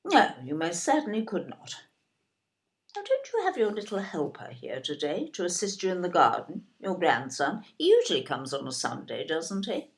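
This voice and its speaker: An imperious, snobbish older British woman in an audio drama. Her low-ish voice swoops widely as she fusses and disparages in genteel phrases. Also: sing-song, normal speed. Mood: disdainful. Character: imperious, snobbish, self-absorbed older wife and mother. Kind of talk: audio play acting.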